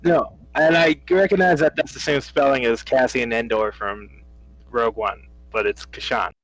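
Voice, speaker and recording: Halting, chatty man at a game table. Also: medium pitch, fairly even intonation, a bit bright, no echo, poor audio. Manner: halting, many restarts and laughs. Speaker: player. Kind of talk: casual tabletop game chat.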